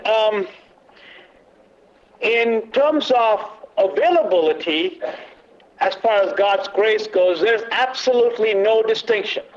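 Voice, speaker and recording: Man, high voice, church workshop teacher, halting with fillers, dull muffled recording. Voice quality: loud but not booming. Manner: halting, many fillers, quizzes the audience. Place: meeting room, away from the podium.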